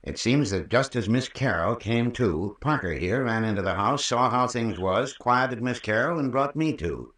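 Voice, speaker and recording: An actor playing a folksy, patient, long-winded country doctor with a rural American accent in an old-time radio drama. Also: male voice, mid-range voice, expressive, a bit bright, close to an everyday voice. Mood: patient recounting. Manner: folksy, unhurried, patient storytelling. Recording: roomy, distant old radio recording.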